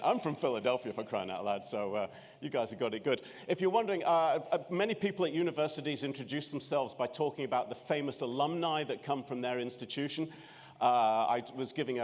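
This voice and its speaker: Scottish man in his fifties, dry self-deprecating humor, keynote in a big echoey room. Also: mid-range voice. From Scotland. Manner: wry, self-deprecating, many restarts. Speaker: keynote speaker. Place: large conference room. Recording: reverberant conference hall.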